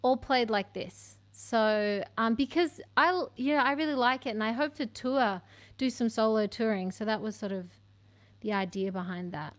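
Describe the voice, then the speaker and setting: A woman's voice: a little high, moderate pace. An Australian woman in her thirties, a singer chatting casually about her music from a home room full of bookshelves, laughing often. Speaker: singer-songwriter guest. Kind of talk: casual interview chat. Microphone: studio mic on stand, headphones on.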